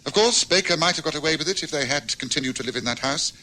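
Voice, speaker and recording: A man with an English accent acting a calm great detective in a radio drama, speaking in a measured, grave way. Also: a little high, a little hiss or hum.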